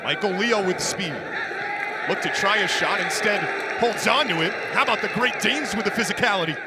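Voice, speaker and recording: A man doing live sports play-by-play with an excitable, punchy delivery. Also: sits high, normal speed, a loud crowd. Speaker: play-by-play announcer. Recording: loud arena noise behind commentary.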